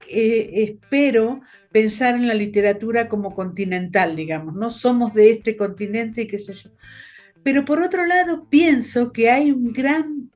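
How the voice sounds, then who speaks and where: An Argentine woman hosts an interview with a fairly high voice and an easy conversational manner. She pads her sentences with small fillers as she thinks aloud over a video call. Faint guitar music sits under her voice. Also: darkish tone. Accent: Argentine Spanish. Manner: conversational, fillers like "este" and "digamos". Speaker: interviewer and host. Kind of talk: casual conversation.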